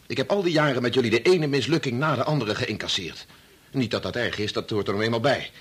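A man's voice: medium pitch, fast, fairly bright tone, breathy edge. An actor in a Dutch radio play, playing a bitter, impatient young man who argues and stammers when thrown. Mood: bitter, indignant. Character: bitter, impatient son working on his father's medical experiment.

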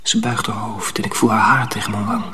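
An actor playing a secretive, dreamy young apprentice in a radio drama, subdued and hesitant. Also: male voice, on the low side, fairly even intonation, a little fast, bright, forceful tone. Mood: dreamy, tender. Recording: noisy radio play recording.

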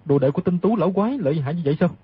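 Learned, troubled martial-world physician for radio drama; formal, brisk, raspy, slightly dull sound. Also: male voice, fairly high pitch, no room sound. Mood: astonished. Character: learned physician, proud man humbled and troubled. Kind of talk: dramatised audiobook reading.

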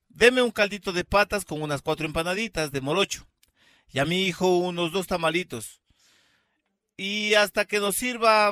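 Man acting a high-voiced, courteous Ecuadorian father. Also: sharp tone, no echo. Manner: polite, explanatory. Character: courteous, obliging neighbour and father of a boy. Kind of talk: amateur radio drama acting.

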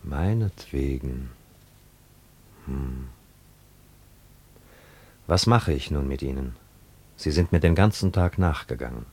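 Very deep-voiced enigmatic stranger. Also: male voice, animated, a little mellow, full character voice. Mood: calm, stern. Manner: measured, enigmatic, terse. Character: mysterious, calm, implacable male stranger who questions people. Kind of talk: German radio play acting.

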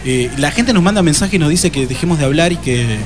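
A man's voice: fairly high pitch, expressive, fast. An Argentine man hosting a casual live radio chat, talking in a jokey, informal, chatty way. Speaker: radio host. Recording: radio talk with music underneath. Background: music.

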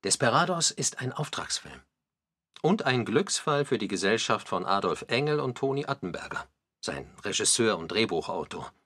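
A radio play narrator recounting history, deliberate and articulate. His voice is low and lively, with a husky grain. The delivery is scripted. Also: full character voice. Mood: matter-of-fact. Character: male documentary narrator recounting postwar Munich history.

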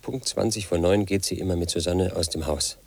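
A man's voice: sits low, a little fast, vocal fry, darkish tone, slightly rough, no room sound, full character voice. An actor playing a lonely, paranoid 38-year-old man who talks in a pedantic, confiding way in a radio drama monologue. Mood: brooding. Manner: pedantic, confiding, obsessed with statistics and codes. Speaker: lead actor in a radio play.

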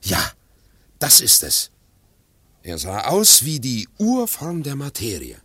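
Ein Schauspieler spielt in einem Hörspiel einen früheren Sekretär, der sich nachdenklich und bedächtig erinnert. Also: male voice, medium pitch, wildly swooping pitch, bright, forceful tone, slightly rough, no room sound, full character voice. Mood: reflective. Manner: reflective, measured recollection. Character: thoughtful male former secretary. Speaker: former secretary. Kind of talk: radio play acting.

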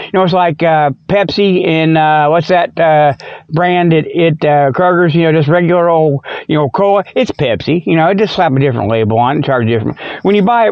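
A man's voice: high-pitched, dry recording, dull audio. An older man hosting a comic radio segment, rambling casually in a folksy, repetitive, digressive way. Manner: folksy, drawling colloquial phrasing, repetitive and digressive.

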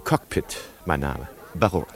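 A pompous, oily aristocrat, with a very animated, swooping delivery. He is affected and mannered in his courtesy, in 1950s radio drama style. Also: extremely low pitch, measured pace, full character voice. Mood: suave, flattering. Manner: pompous, affected, flustered politeness. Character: oily, pompous baron, a thieving ladies' man. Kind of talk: 1950s German radio play acting.

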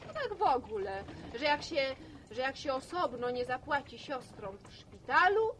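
An actress playing a chatty, teasing village-born nurse back from the city, in a radio drama. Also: female voice, high-pitched, sing-song, moderate pace, fairly bright tone, a little hiss or hum. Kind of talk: Polish radio drama acting.

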